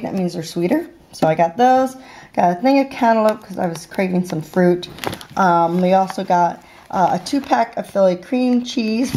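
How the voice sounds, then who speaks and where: A middle-aged woman talks through her groceries in a home kitchen, chatty and homey, her voice sitting at an ordinary middle pitch. She fills pauses with ums and uhs as she goes. A faint hiss and the crinkle of bags sit under the recording from the handheld camera. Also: warm tone. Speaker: vlogger showing a grocery haul. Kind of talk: casual show-and-tell narration. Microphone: handheld camera filming the counter.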